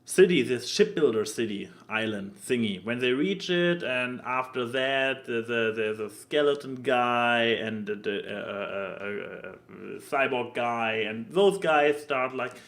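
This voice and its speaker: A man chatting casually as a podcast co-host, halting and stammering as he searches for words. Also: medium pitch, lively intonation, a bit bright. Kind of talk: casual podcast chat about anime.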